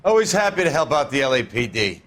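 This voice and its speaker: A man's voice: mid-range voice, very animated, fast talker, a bit bright. An actor playing a proud, helpful gun dealer in a detective game, talking like a knowledgeable salesman. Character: proud, obliging male gun shop dealer. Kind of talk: cinematic detective game dialogue.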